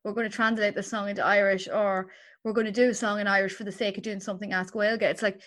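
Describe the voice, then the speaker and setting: Woman chatting away, lots of likes and you knows, mid pitch. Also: level tone, fast, fairly bright tone. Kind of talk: casual conversation.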